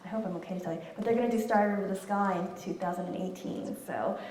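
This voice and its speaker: A woman's voice: medium pitch, fast talker, a little mellow, slight background noise. A woman in her thirties, an author and illustrator, chatting casually while answering audience questions, laughing often. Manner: chatty, laughs often, many fillers and restarts. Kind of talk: casual Q&A talk. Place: room with an audience. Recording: echoey room, distant mic.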